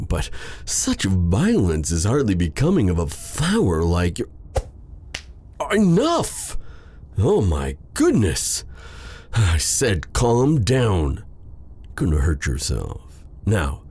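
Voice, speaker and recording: A man playing a suave, menacing dark sorcerer with tender airs in an ASMR fantasy roleplay, speaking in a theatrical, silky, taunting way. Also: low voice, creaky, breathy edge, exaggerated voice. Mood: startled, scolding.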